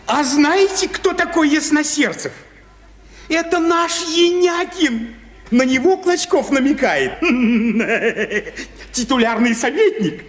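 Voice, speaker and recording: Very high-pitched, fussy, gossipy clerk. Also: male voice, lively intonation, takes it slowly, full character voice. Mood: gleeful, mocking. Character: fussy, moralizing, gossipy male petty official. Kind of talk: theatrical radio play acting. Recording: reverberant hall-like studio.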